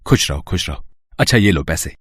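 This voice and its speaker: A man's voice: sits low, expressive, fairly quick, fairly bright tone, husky, dry recording, clean studio sound, full character voice. A man playing a kind young man in an audio story, caring and reassuring like a big brother. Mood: kind, warm. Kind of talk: acted audio story dialogue.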